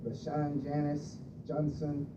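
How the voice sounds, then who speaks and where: A woman's voice: completely flat delivery, takes it slowly. A middle-aged woman hosting a radio discussion on marriage, reading from a handout and laughing between points. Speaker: radio show host. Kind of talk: leading a group discussion on marriage. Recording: distant muffled recording with rumble.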